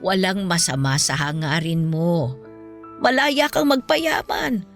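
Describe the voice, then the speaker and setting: A woman acts as an ailing mother in a Filipino radio-style drama. Her voice is on the low side and moves expressively as she counsels her grown child with patient, earnest morals. Music plays faintly behind her. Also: expressive, slow. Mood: patient, counseling. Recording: music bed under the voice.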